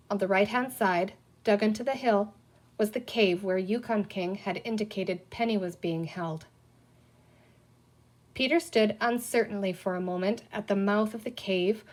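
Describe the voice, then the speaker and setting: A woman reads a children's story aloud at a middling pitch. Her delivery is scripted, expressive narration. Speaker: reader of a children's book.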